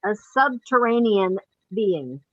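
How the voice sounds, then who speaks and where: A woman's voice: mid-range voice, sing-song, slow, no room sound. A woman chatting casually with fellow cast members on dry, slightly muffled audio. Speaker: cast member in a post-show discussion. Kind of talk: casual group conversation.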